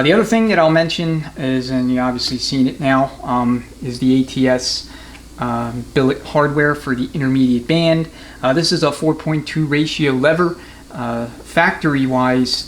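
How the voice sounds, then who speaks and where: Male mechanic-instructor, medium pitch, matter-of-fact and off the cuff, recorded in a workshop with noticeable noise. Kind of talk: unscripted hands-on technical demonstration. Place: transmission workshop.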